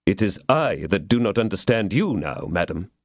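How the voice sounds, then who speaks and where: A man voicing a grave, upright young soldier who speaks in a measured, serious way. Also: deep voice, sing-song, no room sound, studio quality, full character voice.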